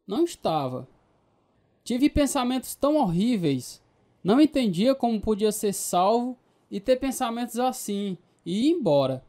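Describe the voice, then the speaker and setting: A man's voice: very high voice, big pitch swings, normal speed, warm tone. An actor playing an earnest male new convert, telling his story in a Brazilian radio drama. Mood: reflective narration. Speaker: narrator and lead actor. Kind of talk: scripted radio drama narration and dialogue.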